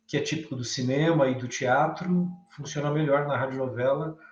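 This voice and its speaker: A Brazilian man giving thoughtful, halting commentary in a class discussion, thinking aloud as he goes. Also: medium pitch, fairly even intonation. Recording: echoey room, dull lo-fi sound.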